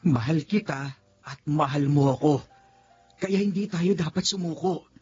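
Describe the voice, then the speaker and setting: A man's voice: a little high, a little slow, a little mellow, soft background music, natural voice. A man playing a determined lover in a secret romance, earnest and stammering when caught off guard, in a slightly muffled radio drama.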